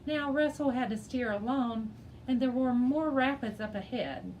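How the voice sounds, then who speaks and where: A woman reading a children's chapter book aloud as a teacher, in an expressive storybook style. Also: sits high, normal speed, highs cut off, a little hiss or hum.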